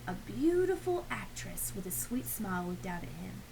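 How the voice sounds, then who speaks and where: A woman of medium pitch reads a picture book aloud to children. She tells it expressively and drops into hushed little asides. Clear background noise runs through the recording. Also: sing-song, normal speed. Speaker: read-aloud reader.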